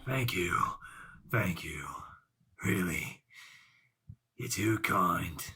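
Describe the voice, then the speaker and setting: Celebrated pirate captain: high forceful voice, drawn-out delivery, audio play tavern. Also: male voice, a little hiss or hum, heavily acted. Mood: effusive.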